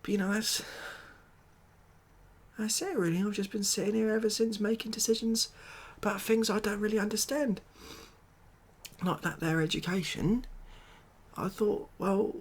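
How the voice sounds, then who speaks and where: Creaky-voiced British man, hesitant and deadpan. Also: sits high, normal speed. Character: glum, overwhelmed ex-builder made president of the world. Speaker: ASMR roleplay performer. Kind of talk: soft-spoken ASMR comedy roleplay monologue.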